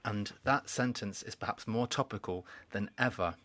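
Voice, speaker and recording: A man giving a formal welcoming speech at a conference, measured and deliberate, with pauses and restarts. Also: on the low side, a bit bright, no echo. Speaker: conference speaker. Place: conference hall.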